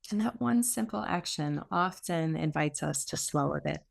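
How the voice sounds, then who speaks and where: A woman teaching meditation, giving gentle, soothing spoken instruction with unforced phrasing and long pauses. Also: low voice, animated, gravelly.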